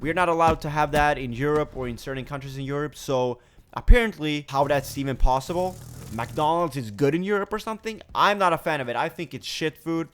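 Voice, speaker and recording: Young man from Sweden, gaming vlogger with a cold and a slightly sore throat. Casual, energetic, rambling delivery at a mid pitch. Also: a little hiss or hum. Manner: energetic, rambling, with frequent fillers. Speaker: gaming YouTuber. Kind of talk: casual vlog chat.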